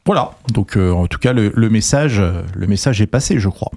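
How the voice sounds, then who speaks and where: A man's voice: lowish voice, animated, moderate pace, warm tone. A man hosting a casual quiz segment on a talk show, bantering and teasing as he puts questions and quotes to the panel. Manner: teasing, joking.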